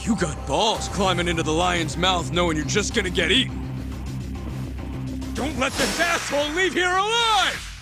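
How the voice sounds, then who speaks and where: An actor playing a menacing, furious gangster in a video game, foul-mouthed and threatening. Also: male voice, sits high, expressive, normal speed, over loud music. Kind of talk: video game cutscene acting.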